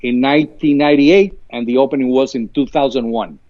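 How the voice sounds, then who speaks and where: Halting man in his fifties. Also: medium pitch, steady tone, no room sound, lo-fi sound. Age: 50s. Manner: halting, repeats words, many uh fillers. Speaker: marina guest interviewed. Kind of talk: casual live interview.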